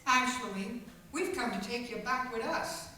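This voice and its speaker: A woman's voice: on the high side, normal speed, sharp tone. A woman playing a prim, firm, well-to-do lady in a radio play, polite and no-nonsense. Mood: urgent. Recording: distant mic, hissy echoey room.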